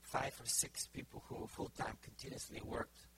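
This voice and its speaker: Bulgarian-accented preacher, halting and hesitant. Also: male voice, a bit bright, a little hiss or hum. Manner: halting, many fillers and restarts. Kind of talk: informal sermon to a congregation.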